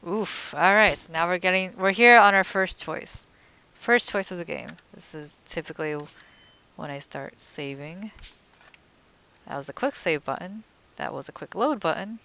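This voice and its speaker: Low-voiced woman, wry game commentary. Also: normal speed, pushed and bright, muffled recording. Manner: wry, muttering reactions to the game. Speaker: let's-play host.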